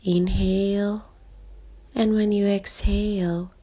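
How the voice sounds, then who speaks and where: A woman leading a guided body scan meditation in a calm, soothing way, speaking in short soft phrases with long pauses and a breathy edge. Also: medium pitch. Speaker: meditation guide, life coach. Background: background music.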